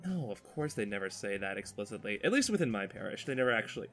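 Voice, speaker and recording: Animated, bright-voiced man chatting. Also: lowish voice, fast, soft background music. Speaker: podcast host. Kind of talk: casual podcast chat while drawing.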